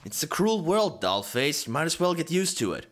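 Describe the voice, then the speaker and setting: A man voicing a brash, self-centred rooster in a cartoon fan dub, slangy and wisecracking, with a husky voice. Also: fairly high pitch, big pitch swings, moderate pace. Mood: cocky, dismissive. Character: brash cocky male rooster, self-centred smooth-talking loner.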